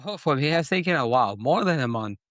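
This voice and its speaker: A man from Venezuela with a Venezuelan Spanish accent, a podcast host who speaks in a halting way, restarting and repeating words. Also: a little high, lively intonation, a bit bright, no echo, clean studio sound. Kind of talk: casual interview conversation.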